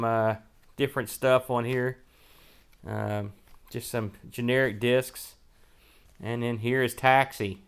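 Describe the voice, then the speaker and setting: A man hosting an unboxing show, giving casual, off-the-cuff commentary in a folksy, colloquial way as he thinks aloud. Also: medium pitch. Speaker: host of a retro computer unboxing show.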